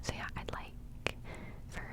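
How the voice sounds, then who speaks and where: A young woman whispering a relaxed music reaction in ASMR style, giggling softly and rambling with plenty of fillers. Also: takes it slowly. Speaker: ASMR creator reviewing music.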